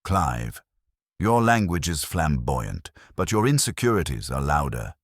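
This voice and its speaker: An imperious, learned detective from a mystery audiobook, acted out by a man. He speaks formally and deliberately in a very deep voice with varied intonation, recorded dry. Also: very animated, no echo, heavily acted. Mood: lecturing, exacting. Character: imperious, erudite male detective, deliberate and terse. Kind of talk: detective audiobook character dialogue.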